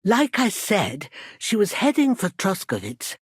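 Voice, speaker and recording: An elderly village healer in a video game, a protective, wary mother who speaks in plain country phrases. Her pitch is middling for a woman but moves up and down a great deal. The recording is dry, with no room sound. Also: huge pitch swings, unhurried, slightly rough, dry recording, full character voice. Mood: earnest, plain. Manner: rustic, plain-spoken, folksy turns of phrase. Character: worried old village herbwoman, protective adoptive mother, wary and proud. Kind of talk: scripted video game character dialogue.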